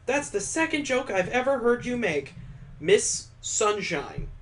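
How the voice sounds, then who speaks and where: A man's voice: extremely high pitch, very animated, measured pace, fairly bright tone, pitched above the performer's own voice, full character voice. A man voicing a cheerful, affectionate young woman who likes to tease, read aloud from a visual novel. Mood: teasing delight.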